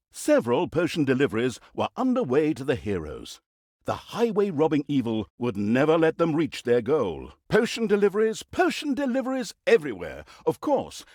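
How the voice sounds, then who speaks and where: An actor playing a theatrical, mock-pompous narrator of villainous deeds in a comic video game, with a sardonic, mocking manner. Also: male voice, a little high, a little mellow, dry recording, studio quality. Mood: wry announcing.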